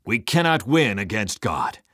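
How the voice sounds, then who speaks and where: A man's voice: fairly low pitch, big pitch swings, measured pace, pushed and bright, no echo, clean studio sound, heavily acted. A man voicing a proud, vengeful devil who commands demons in grandiose, bellowed speeches for a dramatic story. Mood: grim, vengeful.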